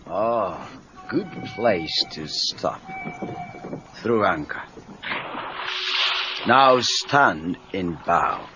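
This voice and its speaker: An actor playing a gruff, wise, teasing older Japanese karate teacher in a read-along cassette drama, with a Japanese accent and clipped broken English. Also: male voice, deep voice, wildly swooping pitch, drawn-out words, pauses often, full character voice. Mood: instructive. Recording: dull cassette tape with faint noise.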